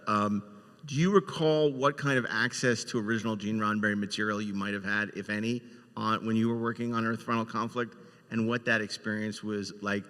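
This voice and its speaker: A man's voice: fairly low pitch, steady tone, brisk, a bit bright. A man hosting a panel, interviewing guests in a conversational way. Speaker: panel host, moderator. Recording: echoey hall with long reverb.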